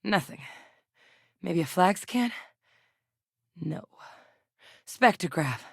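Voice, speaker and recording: A woman voice actress playing a determined, principled soldier-scientist in a space adventure video game, speaking earnestly and conversationally with military briskness. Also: on the low side, animated, creaky, slightly rough, no echo, studio quality, full character voice. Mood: focused, measured. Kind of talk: acted video game dialogue.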